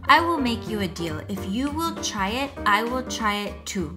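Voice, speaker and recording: A woman voicing a boastful, sweet little French snail in a picture book, theatrical and deliberate, talking straight to a child. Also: on the high side, very animated, music in the background. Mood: coaxing. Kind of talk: picture book read-aloud.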